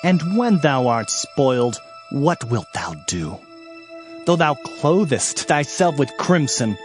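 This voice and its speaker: A man playing an anguished Hebrew prophet in a dramatized scripture reading, lamenting and pleading with theatrical emphasis. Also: mid-range voice.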